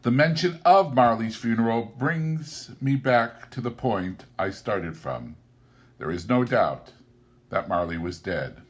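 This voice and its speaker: A man reading a classic story aloud as a narrator, with deliberate, theatrical and emphatic phrasing. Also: mid-range voice. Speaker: audiobook narrator.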